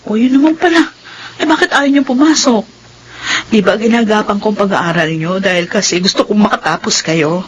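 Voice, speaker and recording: A woman's voice: medium pitch, normal speed, muffled recording, slight background noise, natural voice. A woman acting a patient, self-sacrificing mother in a Tagalog radio drama. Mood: concerned.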